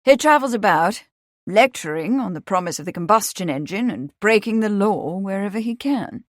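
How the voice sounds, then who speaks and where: A woman voices a well-bred young gentleman in a period audiobook. He is affable and polite, phrasing things in a clipped old-fashioned way, at a middle pitch and in a dry recording. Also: lively intonation, moderate pace, no echo, natural voice. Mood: explanatory.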